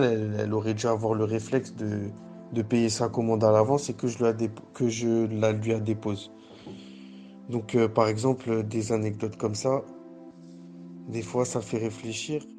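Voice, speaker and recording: A man who works as a pizza delivery driver gives a measured personal testimony, full of fillers and restarts. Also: fairly low pitch, mellow.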